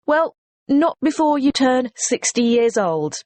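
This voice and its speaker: A woman explains something steadily in a scripted exam dialogue. Her voice is high and lively. The recording is dry and close. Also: slow pace, no echo.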